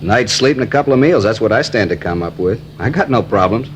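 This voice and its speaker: A man's voice: fairly low pitch, expressive, quick pace, slight background noise, lightly acted. An actor playing a surly young male robbery suspect under police questioning, in a 1950s radio drama. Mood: defiant. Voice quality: surly, evasive and defiant.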